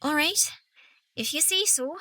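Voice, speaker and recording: An actress playing a gentle, cautious young village girl, a little sister in a fantasy audio drama. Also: high-pitched, expressive, a little slow, dry recording, exaggerated voice. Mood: hesitant, worried.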